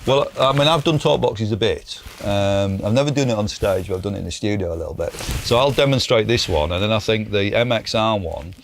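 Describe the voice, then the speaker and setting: A man's voice: fairly low pitch, brisk, vocal fry, few pauses, a little hiss or hum. A British man presenting a casual gear review in an informal, enthusiastic way. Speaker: presenter demonstrating guitar pedals.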